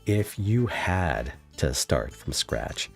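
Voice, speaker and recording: A man with an exceptionally low, bass voice hosts a casual interview. He talks in a measured, halting and reassuring manner, picking through his phrases, over faint background music. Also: sing-song, creaky. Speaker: podcast host, interviewer.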